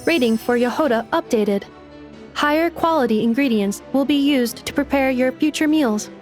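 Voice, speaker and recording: Casting: actress for literal-minded female android helper in a game, fairly high, matter-of-fact and courteous. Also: normal speed, faint music behind, lightly acted. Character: polite, literal-minded female robot shop assistant. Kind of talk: video game voice acting.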